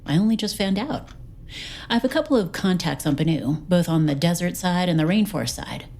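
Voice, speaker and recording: A woman playing a wry, capable investigator officer, reporting in a brisk, matter-of-fact way. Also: lowish voice, lively intonation, darkish tone. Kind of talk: audio drama acting.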